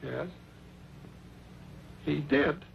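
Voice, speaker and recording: An actor playing a folksy, eccentric older judge in an old western radio drama, creaky and a little pompous. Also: male voice, sing-song, very slow, full character voice. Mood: blustering. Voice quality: creaky, high-pitched. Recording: dull, hissy old radio recording.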